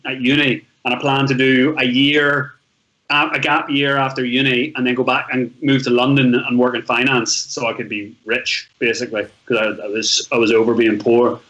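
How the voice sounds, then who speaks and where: A man's voice: mid-range voice, little pitch movement, normal speed, a bit bright, muffled recording. A man in his thirties with a Northern Irish accent, telling his life story as a podcast guest in a chatty, rambling way with frequent restarts. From Northern Ireland. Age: 30s. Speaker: podcast guest, travel blogger. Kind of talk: casual storytelling interview.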